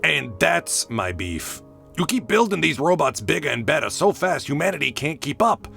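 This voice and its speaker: A man voicing a gruff, skeptical government agent in a comic dub, blunt and slangy. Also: a little high, very animated, normal speed, bright, forceful tone, faint music behind, full character voice.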